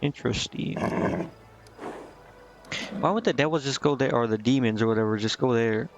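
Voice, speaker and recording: A man's voice: medium pitch, normal speed, faint music behind. A man livestreaming a game, reading text aloud and commenting in an informal, slangy, offhand way. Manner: informal, slangy, reacting offhand between read-out lines. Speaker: video game streamer.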